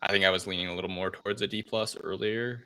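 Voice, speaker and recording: A man's voice: deep voice, steady tone, quick pace, sharp tone, clean tone. A man chatting casually as a panelist on a movie review podcast.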